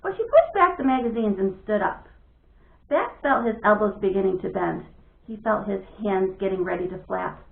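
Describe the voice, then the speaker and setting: Creaky, animated woman storyteller, echoey thin recording. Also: mid-range voice, moderate pace. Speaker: teacher reading aloud to pupils. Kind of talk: children's storybook read-aloud. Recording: thin phone-like audio, echoey room.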